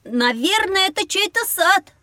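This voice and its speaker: Very high-voiced cheeky schoolboy. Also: female voice, animated, a little slow, pushed and bright, exaggerated voice. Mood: unimpressed. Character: inquisitive, matter-of-fact, cheeky young schoolboy. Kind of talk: children's educational audio drama acting.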